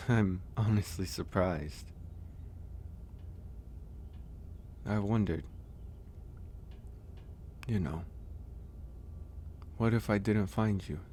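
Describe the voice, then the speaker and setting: A man playing a gentle, caring werewolf in a soft, intimate ASMR audio roleplay, speaking hesitantly and reassuringly with frequent pauses. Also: fairly low pitch, slight background noise. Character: gentle male werewolf, caring stranger nursing a guest.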